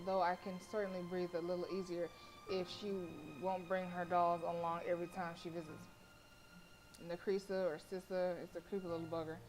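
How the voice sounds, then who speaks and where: Woman streamer, kinda low voice, reads visual novel out loud n chats over it, bit of music behind. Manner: expressive, playful asides. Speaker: game streamer. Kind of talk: reading game text aloud with casual live commentary. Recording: faint game music behind. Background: game music.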